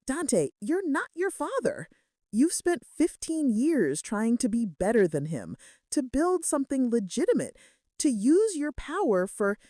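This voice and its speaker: A woman's voice: high-pitched, sing-song, a little slow, dark tone, dry recording, clean studio sound, pitched above the performer's own voice. A woman voicing a devoted young female assistant who speaks firmly and earnestly to her lover in a romance audiobook. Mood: fierce, insistent. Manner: fierce, firm, earnest.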